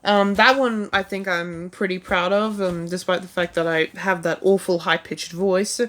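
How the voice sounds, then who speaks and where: A woman's voice: lowish voice, fairly even intonation, normal speed, fairly bright tone. A woman talking casually about her own past videos, rambling and self-deprecating, with lots of "like" and "um" fillers. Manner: rambling, heavy use of "like" and "um".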